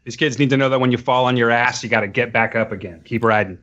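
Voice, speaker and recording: A man's voice: mid-range voice, fast talker, fairly bright tone, dull audio. A man in his forties chatting over a video call, joking in a mock-grandiose way. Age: 40s. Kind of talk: casual chat.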